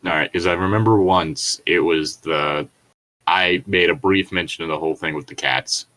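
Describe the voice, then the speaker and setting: A man with a fairly low voice talks as a podcast co-host. He mixes reading aloud with joking, nitpicking commentary. His delivery is casual and rambles as he thinks out loud. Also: normal speed, low-quality recording.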